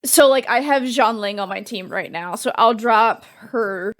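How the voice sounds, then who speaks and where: A woman streamer talks casually over her gameplay in a slightly high voice. She rambles and restarts her sentences as she explains her play. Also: unhurried. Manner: chatty, rambling, with fillers and restarts.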